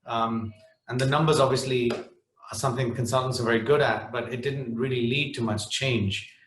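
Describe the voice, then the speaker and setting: A man's voice: mid-range voice, fairly even intonation, normal speed, fairly bright tone, slight background noise. Hesitant middle-aged man in echoey room. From Netherlands. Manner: hesitant, many restarts and fillers. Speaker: panelist. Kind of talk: webinar panel talk.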